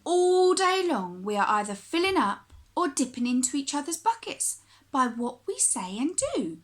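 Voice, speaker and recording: A woman reading a children's picture book aloud like a primary teacher, with expressive, deliberate phrasing for young listeners. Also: sits high.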